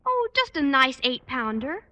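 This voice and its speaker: A woman's voice: high-pitched, very animated, unhurried, bright, forceful tone, exaggerated voice. An American woman acting a sweet, earnest, naive teenage schoolgirl in an old radio sitcom. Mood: earnest, hesitant. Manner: chirpy, earnest. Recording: muffled old radio broadcast.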